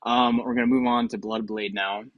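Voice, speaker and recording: A man's voice: mid-range voice, little pitch movement, moderate pace, dry recording, highs cut off. A man hosting casual gaming commentary, rambling and thinking aloud with some hesitation. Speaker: video host.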